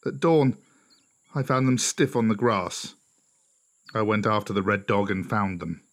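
A man's voice: on the low side, a little slow, close to an everyday voice. Grim, wounded male lone wolf for a storybook tale, voiced by a man; weary and vengeful. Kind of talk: children's storybook character voice.

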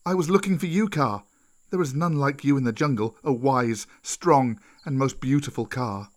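A man's voice: medium pitch, moderate pace, a little mellow, natural voice. A man voicing a bold, fervent young man raised by wolves in a children's storybook. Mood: apologetic, flattering.

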